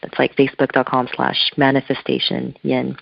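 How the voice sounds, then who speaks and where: A woman's voice: rumbling low, completely flat delivery, moderate pace, creaky, no echo. A woman whose first language is Korean, a spiritual artist being interviewed by telephone, talking in a searching, reflective way with loose phrasing. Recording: muffled telephone line.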